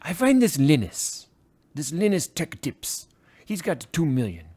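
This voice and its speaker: Man putting on a high-pitched, emphatic Romanian guy. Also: very animated, a little mellow, husky, full character voice. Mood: blunt, earnest. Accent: put-on Romanian. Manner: halting, emphatic, repeats himself. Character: boozy, blunt, generous Romanian tech reviewer man. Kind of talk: impression in a comic anecdote.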